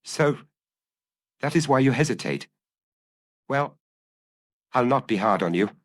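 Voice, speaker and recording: A man voicing a brisk, commanding ex-police detective in an audiobook, brusque and impatient as he bargains. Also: medium pitch, expressive, no echo, clean studio sound, pitched above the performer's own voice. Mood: shrewd. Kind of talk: audiobook character acting.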